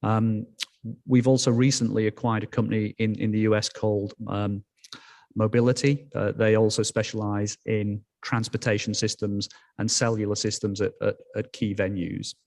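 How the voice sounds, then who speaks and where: A man with a Yorkshire accent giving an informal business presentation in a somewhat halting way. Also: fairly low pitch, level tone, gravelly, a little mellow. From Yorkshire, England. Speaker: company executive, guest speaker.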